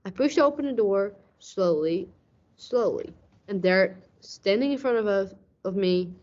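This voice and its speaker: A woman plays a brooding police detective in a radio play. The delivery is dramatic, deliberate first-person noir storytelling. The recording is a bit dull and boxy. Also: mid-range voice, animated, a little mellow, close to an everyday voice. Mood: tense, suspenseful. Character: hard-boiled, brooding San Francisco police detective lieutenant. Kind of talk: hard-boiled detective narration. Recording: dull lo-fi audio.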